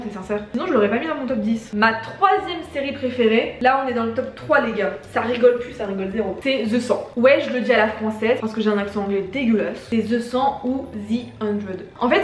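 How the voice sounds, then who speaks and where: A woman's voice: a little high, echoey room. Slangy, chatty young woman. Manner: slangy, chatty, swears casually. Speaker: YouTuber. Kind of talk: casual chat.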